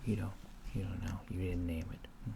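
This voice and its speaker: Male, lowish gentle voice for an ASMR alien clerk: curious, earnest, apologetic, near-whispered, over clearly audible noise and typing. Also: fairly even intonation, a little fast. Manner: hesitant, apologetic, earnest questioning, drifting into whispers. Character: polite, curious, naive alien data-entry clerk. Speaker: ASMR roleplay performer. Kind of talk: soft-spoken ASMR roleplay. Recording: noisy close recording with keyboard typing. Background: computer keyboard typing.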